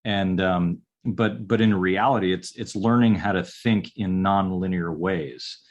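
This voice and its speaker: Low-pitched man in his forties, unhurried and halting, guest on an interview podcast. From upstate New York. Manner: halting, many ums and restarts. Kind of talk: casual interview answers.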